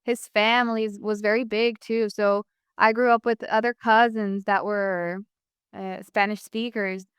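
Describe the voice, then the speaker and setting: A woman's voice: medium pitch, steady tone, measured pace, fairly bright tone, clean tone, no echo, studio quality. A young woman from the United States, a guest talking casually in an interview, rambling with many fillers and restarts.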